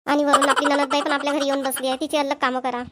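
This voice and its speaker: A woman plays an overworked young daughter-in-law in a cartoon family comedy. Her voice is high and quick, full of grumbling. She chatters colloquially, like someone forever fed up with chores. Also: little pitch movement, heavy background noise, natural voice. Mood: complaining.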